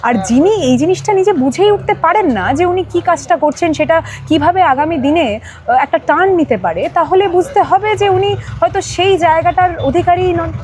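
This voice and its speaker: A woman with a high voice and a Bengali accent speaks as a politician in an interview. She is emphatic and builds long sentences that circle back and repeat key phrases. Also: animated, normal speed, darkish tone. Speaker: political party leader, interview guest.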